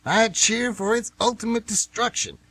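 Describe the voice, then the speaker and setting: A man's voice: high voice, normal speed, a bit bright. An actor with an American accent playing an indignant, stubborn older man, folksy and emphatic, in a radio play. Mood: scornful. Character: stubborn, indignant old male former baseball fan.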